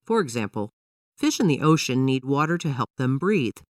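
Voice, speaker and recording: A woman's voice: a bass voice, very animated, normal speed, a little mellow, no echo, studio quality, distant mic. A woman voicing a patient father who explains things to his young daughter in a children's storybook, in an instructive manner. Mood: explaining.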